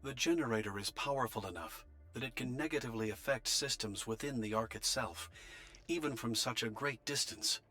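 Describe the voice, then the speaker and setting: An actor playing a formal male official delivering a measured, bureaucratic scripted message in a video game. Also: mid-range voice, bright, forceful tone, faint music behind, lightly acted. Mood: businesslike. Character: formal male official of an underground refuge giving orders. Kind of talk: scripted voice transmission, formal address.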